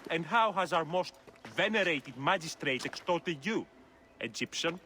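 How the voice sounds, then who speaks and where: An actor playing a world-weary city magistrate who speaks in a wry, measured way. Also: male voice, on the high side, expressive, bright, forceful tone, a little hiss or hum.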